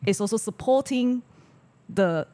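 A woman's voice: fairly high pitch, animated, unhurried, dark tone. A woman with a Malaysian accent speaking as a panelist, explaining background in a conversational way.

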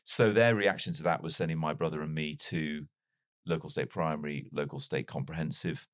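A man's voice: rumbling low, steady tone, normal speed, dry recording, clean studio sound. A man in his forties who grew up in North Wales, with a mild British accent that is not strongly Welsh, telling his life story in a casual interview. He talks in a reflective, wry, self-deprecating way. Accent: mild British English, not strongly Welsh. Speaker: podcast guest.

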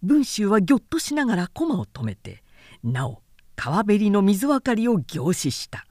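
A woman reading a literary story aloud as narrator, in a measured, theatrical storytelling manner. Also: medium pitch, sing-song, darkish tone, no echo, clean studio sound. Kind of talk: dramatic scripted literary narration.

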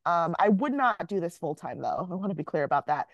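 A woman's voice: lowish voice, expressive, a little fast, dry recording, muffled recording. Candid young woman author, dry close sound. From Jamaica. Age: young adult. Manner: candid, self-mocking, laughs often. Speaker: author guest. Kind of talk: casual podcast interview.

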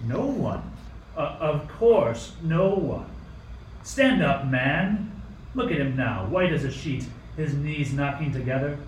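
A man's voice: on the high side, expressive, takes it slowly. An amateur actor playing a stern, suspicious tyrant king in a radio play, with an imperious, declamatory delivery. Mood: commanding, scornful. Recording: roomy, distant mic, faint noise.

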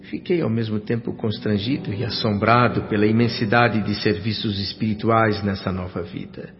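An actor playing an earnest, astonished newcomer to the spirit world, speaking and narrating expressively in a radio drama. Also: male voice, on the low side, level tone, normal speed, lightly acted. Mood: wondering, troubled. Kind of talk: radio drama dialogue and first-person narration. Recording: slightly dull band-limited sound with music. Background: music.

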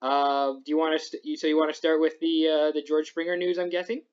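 A man's voice: fairly high pitch, level tone, brisk, clean tone, highs cut off. A young man hosting a podcast, chatting casually about sports in a rambling, self-interrupting way. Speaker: podcast host.

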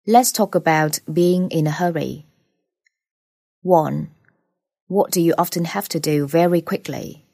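A woman reading out speaking-test questions as an examiner in a plain, measured way. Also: low-pitched, gravelly, mellow, no room sound, clean studio sound.

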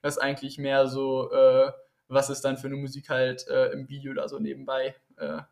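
A man guesting on a podcast, his voice carrying a gravelly fry. He rambles in a relaxed, easygoing way and fills his pauses with filler words. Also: medium pitch. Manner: relaxed, rambling, filler-heavy, chuckles often. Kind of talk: casual chat.

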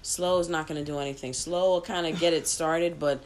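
Low-voiced, matter-of-fact woman guest. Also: a little fast, a little hiss or hum, rough recording. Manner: relaxed, matter-of-fact, fills with "you know". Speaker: podcast guest. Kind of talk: casual explicit podcast chat.

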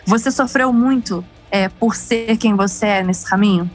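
A woman's voice: mid-range voice, moderate pace, soft background music. A woman co-hosting a podcast, playful and joking as she greets listeners and asks questions. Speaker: podcast co-host. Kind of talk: casual podcast chat.

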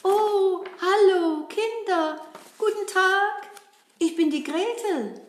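A woman voicing a cheerful, sweet young woman in a puppet show, speaking in a high, sing-song voice to the children. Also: slow pace. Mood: sweet, chatty. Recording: roomy echo.

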